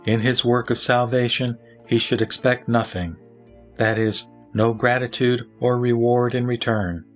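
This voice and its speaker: A man's voice: mid-range voice, little pitch movement, measured pace, faint music behind, lightly acted. A man voicing a wise lay teacher who answers solemnly and formally in a scripture audio drama. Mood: solemn teaching. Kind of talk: audio drama sutra recitation.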